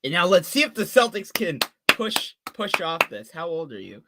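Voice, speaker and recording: A man of twenty giving fan play-by-play on a live stream, calling the action quickly and chatting with viewers between plays. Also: a little high, sing-song, heavy background noise. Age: 20s. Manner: rapid call of the action mixed with chatty asides to viewers.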